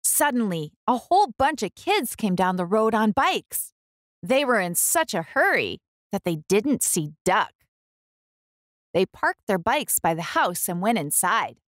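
A woman reads a children's story aloud in an expressive, storytelling style. She takes her time, with lively rises and falls in a medium-pitched voice, recorded close and dry. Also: measured pace, no room sound. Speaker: children's book reader and host.

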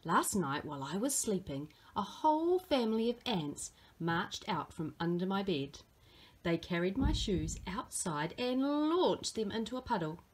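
A woman's voice: medium pitch, very animated, measured pace, breathy edge, low-quality recording. A woman voicing a small, earnest, imaginative girl who tells tall tales in a dramatic storybook manner.

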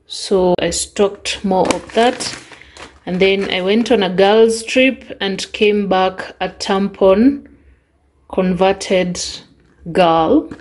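A woman's voice: mid-range voice, steady tone, very slow, darkish tone. A young adult woman with a Kenyan accent, chatting casually through a shopping haul voice-over and listing items one by one. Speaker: vlogger.

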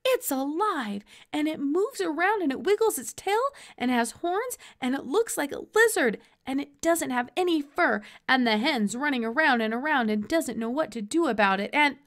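A woman voicing an eager, earnest young farm boy in a storybook, excitable and breathlessly run-on in his talk. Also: high voice, moderate pace, few pauses, voice pushed up. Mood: breathless excitement. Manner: exclamatory, run-on, earnest delivery.

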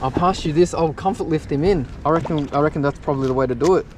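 A young man commentating his own fishing live from an outdoor wharf at night, chatty and loudly excited when fish bite. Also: a little high, fast talker. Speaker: fishing vlogger. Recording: outdoor night recording with background noise.

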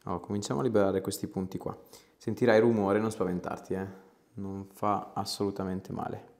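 A man, reassuring and informal as he gives a patient gentle instructions. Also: fairly low pitch, level tone, brisk, vocal fry. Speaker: chiropractor. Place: small clinic treatment room. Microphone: clip-on lapel mic.

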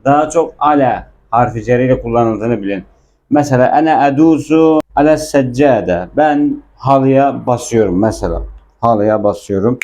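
A man's voice: mid-range voice, normal speed, dark tone. A man giving an informal language lesson, patiently repeating and explaining words as a teacher.

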